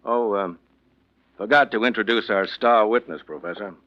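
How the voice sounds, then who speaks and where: An actor playing a courteous, matter-of-fact police investigator who plainly explains a case in a 1940s radio drama. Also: male voice, on the low side, normal speed, lightly acted. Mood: satisfied. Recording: muffled vintage 1940s radio recording.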